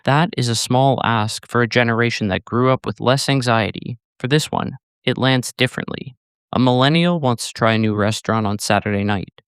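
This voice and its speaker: A man narrating a scripted video essay in a measured, deliberate way with short, emphatic phrases. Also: mid-range voice, fairly bright tone, clean tone, dry recording, studio quality.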